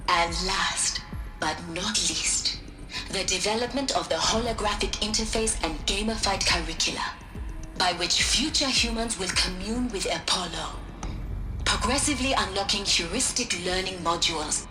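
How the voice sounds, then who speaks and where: A woman voicing a dignified, earnest scholar in a video game, giving a measured, solemn formal speech. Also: medium pitch, lightly acted. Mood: solemn, resolute. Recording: echoing room, music underneath.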